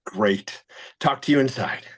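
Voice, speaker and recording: A man playing an overfamiliar Pentecostal faith healer in a table read, husky and intrusively solicitous. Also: mid-range voice, measured pace.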